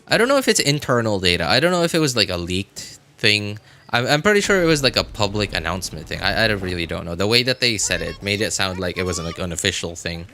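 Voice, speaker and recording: A man's voice: medium pitch, bright, forceful tone. A man livestreaming games, chatting casually with viewers in a rambling, hesitant way, often backtracking and hedging. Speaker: game livestreamer.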